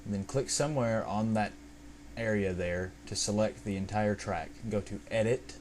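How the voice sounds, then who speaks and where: A man giving a casual, unscripted step-by-step software tutorial in an informal, explanatory way. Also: on the low side, normal speed. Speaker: video tutorial presenter. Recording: amateur home recording, steady background noise.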